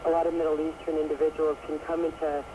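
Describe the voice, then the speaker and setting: High-voiced, hesitant male caller. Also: monotone, fast. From born in Baghdad, raised in Canada. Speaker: caller to a radio show. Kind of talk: casual phone-in conversation. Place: phone call to radio station. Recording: dull noisy phone call over shortwave, on cassette.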